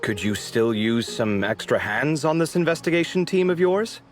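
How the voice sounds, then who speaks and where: A man's voice: mid-range voice, little pitch movement, moderate pace, faint music behind, lightly acted. A man voicing a friendly, sarcastic young male architect in a game, chatty and teasing. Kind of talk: game voice acting.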